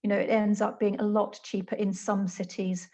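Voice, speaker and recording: A woman's voice: medium pitch, little pitch movement, moderate pace, warm tone. A woman from the South Coast of England with a southern English accent, explaining student finance in a friendly way with slight hesitations on a video call. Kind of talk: webinar explanation. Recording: dull lo-fi call audio.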